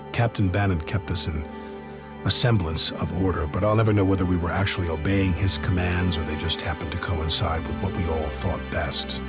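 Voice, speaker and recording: A man playing a weary army officer who recounts a war in measured, reflective game narration. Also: deep voice, lightly acted. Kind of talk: scripted narration. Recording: loud music under the voice. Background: loud cinematic music.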